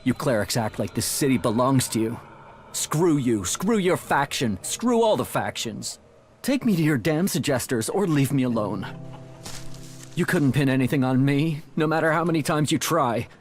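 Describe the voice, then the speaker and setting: A man's voice: medium pitch, animated, normal speed, faint music behind, natural voice. A man playing a surly, defiant troublemaker in a video game, talking in a belligerent, sneering way. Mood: defiant, irritated. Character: surly, defiant male city troublemaker hounded by authority. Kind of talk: video game dialogue acting.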